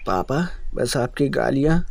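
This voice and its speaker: A man's voice: mid-range voice, very animated, unhurried, creaky, slight background noise. A man voicing a young male cartoon crow, an earnest, pleading son determined to succeed. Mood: calm, respectful.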